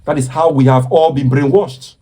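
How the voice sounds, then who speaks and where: A man's voice: on the high side, steady tone, moderate pace, dark tone. A man giving impassioned social commentary in an earnest, preaching way, often stopping to repeat himself.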